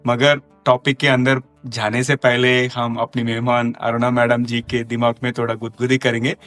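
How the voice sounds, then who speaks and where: A man's voice: mid-range voice, flat delivery, moderate pace, fairly bright tone, soft background music. A man hosting an interview podcast, effusive and respectful, addressing his guest formally. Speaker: podcast host.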